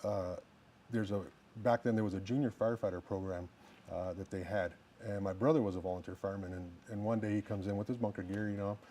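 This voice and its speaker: A man of about fifty from New Mexico, a firefighter running for office, answering interview questions politely and plainly, with halting restarts. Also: fairly low pitch, fairly even intonation, mellow, a little hiss or hum.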